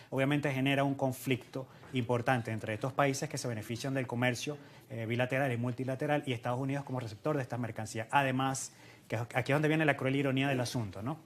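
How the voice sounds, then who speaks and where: A man speaking as an economist and interview guest, giving explanatory analysis and repeating phrases in lists for emphasis. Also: medium pitch.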